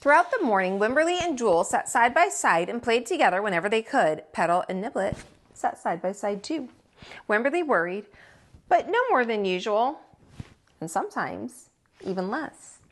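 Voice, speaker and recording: Woman storytime host, slightly high voice, animated melody, deliberate expressive narration, children's picture-book reading.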